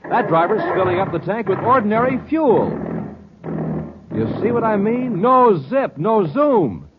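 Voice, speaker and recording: A male old-time radio announcer with a fairly high, very animated voice and punchy, dramatic emphasis. The recording is dull and noisy, like a vintage broadcast. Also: measured pace. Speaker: announcer, narrator and ad pitchman. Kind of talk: 1950s radio announcing, scripted.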